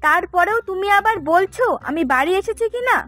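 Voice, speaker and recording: A woman's voice: very high voice, expressive, a little fast, fairly bright tone, faint music behind. A woman playing a hardworking, plain-spoken village fish seller and impatient wife in a cartoon, chatty and colloquial. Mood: irritated. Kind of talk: Bengali fairy tale cartoon dubbing.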